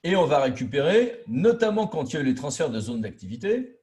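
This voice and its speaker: A man's voice: on the high side, sing-song, fairly quick, dark tone. A man giving an informal training lecture as a trainer, colloquial and didactic, stressing key words. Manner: colloquial and didactic, acting out imagined quotes. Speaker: trainer lecturing local officials on intermunicipal law.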